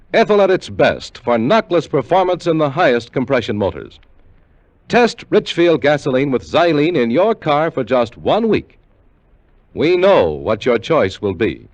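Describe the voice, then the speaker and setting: A male radio announcer from the 1950s delivers a polished, emphatic sales pitch. His voice sits a little high and swings widely in pitch. The sound is close and dry. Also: no echo. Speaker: commercial announcer. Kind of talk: 1950s radio gasoline advertisement, scripted announcing.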